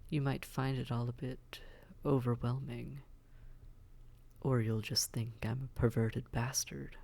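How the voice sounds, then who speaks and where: Male actor as suave demon prince, creaky breathy voice, even low-key intonation, seductive, teasing, formal, intimate audio roleplay. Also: heavily acted. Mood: teasing, courteous. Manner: unhurried, teasing, coaxing, formal wording.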